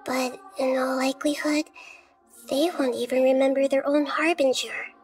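A woman playing a wise, gentle, childlike goddess in a video game, speaking in a measured, solemn storytelling manner. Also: sits high, little pitch movement, soft background music, lightly acted. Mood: grave explaining. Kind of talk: scripted video game dialogue.

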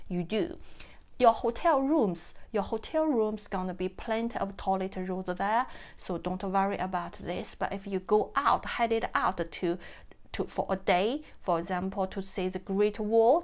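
A young woman from China with a Chinese accent, giving casual travel tips to camera from her sofa, chatty and prone to restarting her phrases. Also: mid-range voice. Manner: chatty, repeats and restarts phrases, non-native grammar. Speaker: vlogger. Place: living room, seated on a leather sofa. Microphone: camera in front, framed from the chest down.

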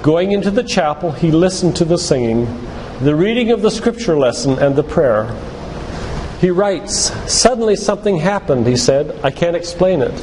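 An older man giving an earnest, deliberate sermon-like talk with stories and read-out quotations. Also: fairly high pitch, animated, darkish tone. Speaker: physician and lay preacher giving a church talk. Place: church hall, live talk to congregation. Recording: reverberant church hall, background noise.

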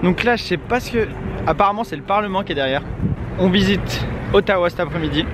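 A man's voice: high-pitched, fast talker. Young man vlogging over street noise. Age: young adult. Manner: relaxed, rambling, many hesitations. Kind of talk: casual travel vlog to camera. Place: outdoors in a city street. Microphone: handheld camera at arm's length. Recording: outdoor traffic noise behind. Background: street and traffic noise.

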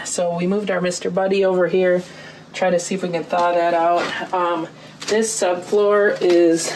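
A young woman casually narrating a walkthrough of a camper she is fixing up, relaxed and chatty with frequent ums. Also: fairly low pitch, slight background noise, poor audio. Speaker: vlog host. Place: inside a gutted camper trailer.

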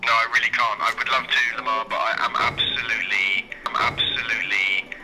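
A man with a mid-pitched voice chats casually through a phone speaker. Loud music plays along with his voice. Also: fairly even intonation, fairly quick, poor audio. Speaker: video editor on a call.

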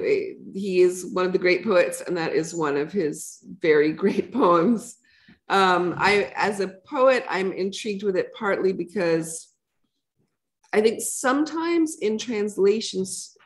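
A middle-aged woman poet answering audience questions in a reflective, conversational way, thinking aloud as she goes. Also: on the low side. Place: lecture room with a projection screen.

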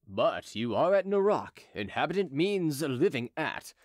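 A man's voice: a little high, very animated, measured pace, dry recording, studio quality. A man voicing a young patrol officer, grave, formal and polite, in an audiobook. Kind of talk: audiobook character dialogue.

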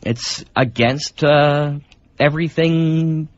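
A man's voice: a little high, slow pace. A man hosting a radio show with casual, rambling banter in a chatty, conversational way. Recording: dull lo-fi radio recording.

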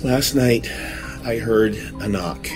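An actor playing a shaken, grave man making a halting, brooding confession in a horror audio drama. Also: medium pitch, music in the background, natural voice. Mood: haunted.

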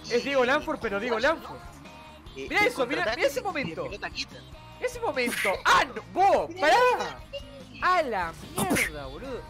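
A young woman with a Rioplatense Spanish accent, streaming games from her home computer desk in excitable, swearing, shrieking banter. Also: high voice, fairly quick, music in the background. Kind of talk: casual gaming stream banter.

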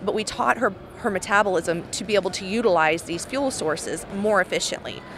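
A woman's voice: mid-range voice, brisk, noisy background. A woman in her forties, a fitness coach, answering interview questions at an expo in a confident, explanatory manner. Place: large indoor expo hall, in front of a backdrop. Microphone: handheld microphone held by host.

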